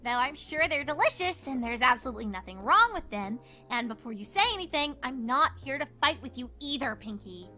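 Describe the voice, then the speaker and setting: A woman voicing a studious, sincere young female unicorn in a cartoon fan fiction reading, earnest and articulate with a slightly gruff, sceptical streak. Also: high-pitched, animated, fairly quick, few pauses, bright, forceful tone, faint music behind. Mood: gruff, defensive.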